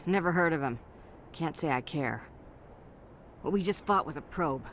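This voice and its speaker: A woman's voice: low-pitched, expressive, a bit bright. Female army officer, game role: battle-worn, brusque, clipped commands, noisy battlefield recording. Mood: weary, blunt. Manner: blunt, clipped military briskness. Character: weary, gruff female army lieutenant commanding besieged marines. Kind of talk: video game voice acting.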